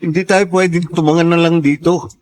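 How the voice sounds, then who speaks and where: A man's voice: a little high, fairly even intonation, fairly quick, a little mellow, lightly acted. An actor with a Filipino accent playing a protective father in a radio drama. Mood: urgent. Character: protective father urging his family to safety.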